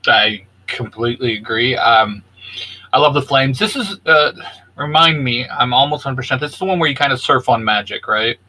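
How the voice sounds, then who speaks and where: Man, medium pitch, bright crisp-edged tone, co-host, casual gaming chat. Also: animated, normal speed, a little hiss or hum, poor audio.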